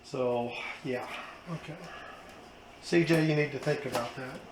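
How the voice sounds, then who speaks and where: A hoarse man, hosting a casual unboxing vlog with plenty of fillers. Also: medium pitch, fairly even intonation, a little slow. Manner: chatty, self-deprecating, many fillers. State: hoarse, losing his voice. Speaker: car channel host. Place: outdoors then indoors at home. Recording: echoey room with background noise.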